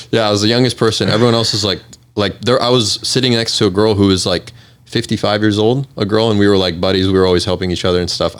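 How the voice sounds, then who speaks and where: A man's voice: sits low, fairly bright tone. A man in his twenties telling his own story as an interview guest in a casual, informal way, often quoting his thoughts. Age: 20s. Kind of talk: casual chat, personal storytelling.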